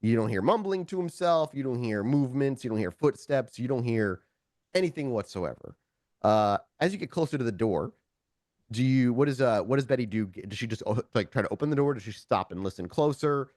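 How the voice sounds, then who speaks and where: Male game master, informal storyteller, very lively intonation, dry close sound. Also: mid-range voice, brisk, no room sound. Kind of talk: narrating a tabletop roleplaying game, conversational.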